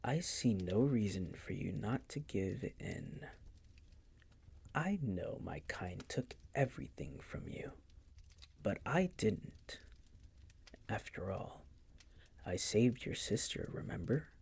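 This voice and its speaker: A man playing a possessive, coolly menacing vampire master in an intimate audio roleplay, teasing and condescending in a breathy, gravelly whisper. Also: on the low side, lively intonation, darkish tone, heavily acted. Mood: cold, controlling. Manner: slow, condescending, teasing, coaxing.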